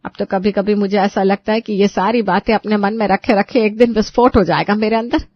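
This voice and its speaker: A woman's voice: medium pitch, fairly even intonation, brisk, no room sound, dull audio, natural voice. A woman voicing a gossipy, dramatic older mother-in-law type in an acted audio story. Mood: exasperated. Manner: complaining, dramatic. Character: exasperated, gossipy older Indian mother-in-law and her aunty friend.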